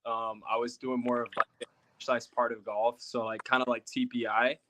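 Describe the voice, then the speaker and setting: A man's voice: medium pitch, level tone, a little fast, noisy background. A young man from Puerto Rico, a guest telling rambling stories in casual chat with many fillers.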